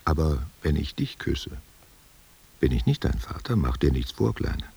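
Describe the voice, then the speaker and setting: An actor playing a gruff but tender, brooding old man in a literary radio play, reflective and patronising. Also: a bass voice, sing-song, moderate pace, darkish tone, full character voice. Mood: tender, chiding. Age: older. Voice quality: husky, gravelly.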